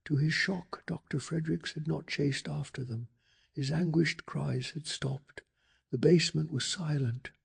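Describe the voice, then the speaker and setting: A man reads a scripted story in a very husky, breathy ASMR voice. The pitch sits a little high for a man, with a gravelly fry running through it. His delivery is hushed and dramatic, made for bedtime listening. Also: unhurried. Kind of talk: soft-spoken ASMR bedtime story narration.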